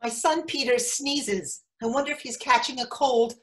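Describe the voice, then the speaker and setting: A woman's voice: high voice, moderate pace, a bit bright. A woman playing a cheeky, carefree mother, telling her story playfully and dryly in a staged reading.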